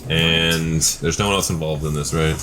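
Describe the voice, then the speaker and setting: Deep-voiced man chatting at game table. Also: steady tone, normal speed, background noise. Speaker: player in a tabletop game podcast. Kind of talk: casual table chat. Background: music.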